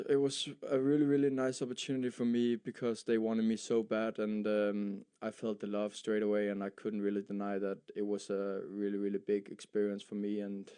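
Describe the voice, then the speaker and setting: A young Danish footballer with Danish as his first language, answering interview questions in a halting way, with many fillers and repeated words. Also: on the low side, mellow, smooth. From Denmark. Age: young adult. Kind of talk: post-match style interview.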